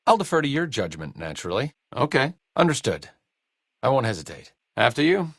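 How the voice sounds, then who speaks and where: Casting: wry, educated male doctor sidekick for post-apocalyptic game; deadpan wit, animated intonation, studio-dry recording. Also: mid-range voice, fairly quick, breathy edge, no room sound, full character voice. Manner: dry, sardonic, articulate. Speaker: video game voice actor. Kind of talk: scripted video game companion dialogue.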